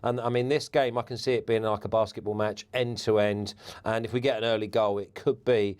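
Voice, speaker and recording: A man, a former footballer, giving relaxed football punditry as a guest, laughing easily and restarting words. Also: on the low side, fairly quick, clean tone. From England.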